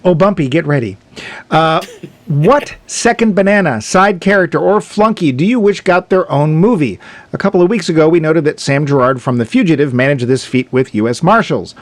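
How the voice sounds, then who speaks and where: Lively higher-voiced man, joking. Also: normal speed, no room sound. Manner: jokey, laughs often. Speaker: podcast co-host. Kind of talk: casual movie podcast chat.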